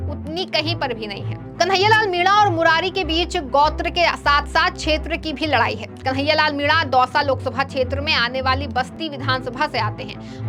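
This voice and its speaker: High-pitched woman presenting news over music. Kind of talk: scripted news narration. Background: background music.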